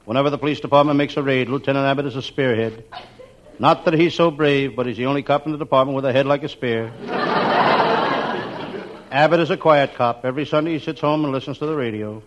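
Deadpan radio private eye, muffled and crackly man acting. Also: mid-range voice, fast talker, lightly acted. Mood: wisecracking. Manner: deadpan, slangy, punchline delivery. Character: wisecracking, down-on-his-luck hardboiled private detective. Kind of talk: 1940s radio comedy acting, scripted first-person narration. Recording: muffled, very noisy old radio recording.

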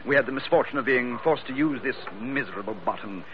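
A man's voice: mid-range voice, fast, close to an everyday voice. An actor playing a fussy, pompous mining company man, formal and flustered, in 1940s radio drama style. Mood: fussy urgency. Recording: noisy, muffled old radio drama recording.

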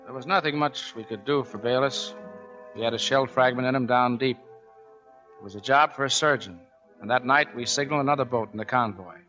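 A man acting in a 1940s radio drama as a tough, sardonic Navy chief, with a gruff way of talking. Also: medium pitch, a little fast, bright, forceful tone, soft background music, lightly acted. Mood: grave narrating. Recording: muffled 1940s radio recording.

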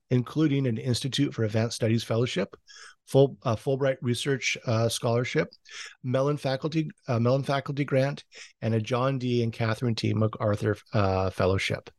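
A man hosting a podcast interview, introducing and questioning his guest with hesitant pauses between phrases. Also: medium pitch, no echo, studio quality.

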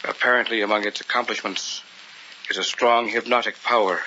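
Bright-voiced, assured occult scholar. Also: male voice, on the low side, fairly even intonation, fairly quick, a little hiss or hum. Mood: intent. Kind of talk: old-time radio drama acting. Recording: muffled old radio recording.

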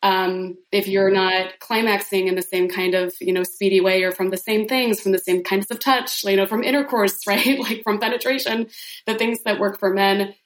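A woman's voice: medium pitch, fairly even intonation, moderate pace. A woman guest on a podcast, explaining her views in a casual way, with many "um"s and "right?"s and some laughs. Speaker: podcast guest, sex coach. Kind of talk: casual interview talk. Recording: roomy echo.

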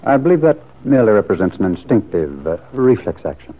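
Teasing bachelor, low voice, animated intonation, wry, bookish, 1940s radio drama, muffled vintage sound. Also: male voice, a little fast. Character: dry, teasing bachelor friend. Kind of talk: 1940s radio soap opera acting. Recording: old muffled radio recording.

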